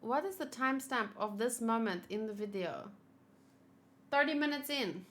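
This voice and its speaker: A woman's voice: on the high side, animated, moderate pace, a bit bright. A young woman streamer chatting casually and rambling through a game reaction, with playful little exclamations. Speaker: gaming streamer. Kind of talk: casual livestream chat and reaction.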